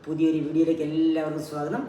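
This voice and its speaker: A woman's voice: low-pitched, monotone, very fast, warm tone, lo-fi sound, slight background noise. A woman hosting a puppet show and telling a story to children in a teacherly way, with questions and repeated key words. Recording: very echoey, distant mic, background music.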